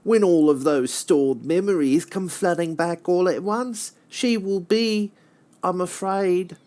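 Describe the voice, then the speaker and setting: A man voicing a smug, theatrically menacing trickster demon prince for a fantasy video game, with a sly, drawn-out delivery. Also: high voice, a little mellow. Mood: sly menace.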